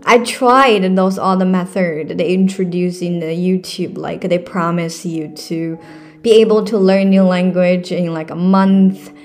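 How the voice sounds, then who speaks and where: A woman's voice: fairly low pitch, fairly even intonation, measured pace. Young Chinese woman chatting over guitar. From China. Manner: chatty, earnest, with frequent fillers and restarts. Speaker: podcast host. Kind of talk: casual solo podcast talk.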